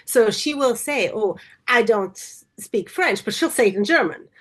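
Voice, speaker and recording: A woman's voice: fairly high pitch, expressive, a little slow, a bit bright. Reflective woman, podcast host. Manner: reflective, trails off with fillers. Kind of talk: casual chat.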